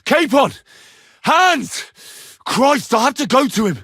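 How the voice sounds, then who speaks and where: A young medieval video game hero, with a slightly husky voice recorded close and dry. He is earnest and wry, mutters his thoughts to himself and curses readily, with pitch that moves widely. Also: big pitch swings, slow, sharp tone, dry recording, full character voice. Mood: shouting, fierce. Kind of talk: acted video game voice lines.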